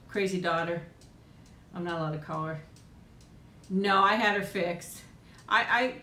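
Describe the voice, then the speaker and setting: A woman in middle age hosting a casual live chat with viewers at home, rambling and laughing often, with frequent ums. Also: a bit bright. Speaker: host of a live chat and eating stream. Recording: echoey room with faint rumble.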